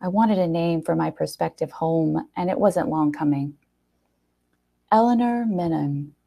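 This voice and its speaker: A woman reading a short story aloud in an expressive, wry first-person narration with dramatic pauses. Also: low-pitched, vocal fry.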